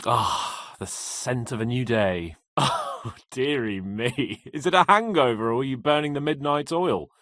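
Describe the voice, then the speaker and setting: A man's voice: medium pitch, lively intonation, unhurried, fairly bright tone, no echo, clean studio sound. An actor playing a cocky young medieval nobleman in a video game, haughty and playful with a theatrical manner. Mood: cheerful, chatty. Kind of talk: video game character dialogue.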